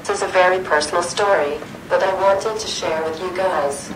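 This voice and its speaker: A woman's voice: on the low side, moderate pace, noisy background. Korean-accented woman telling a personal story. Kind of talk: sharing a prepared personal story.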